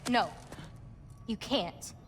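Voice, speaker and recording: An actress playing a cautious, caring teenage girl in a video game, speaking in a measured, earnest and determined way. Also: long reverb tail, a little hiss or hum. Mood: grave. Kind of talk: naturalistic video game acting.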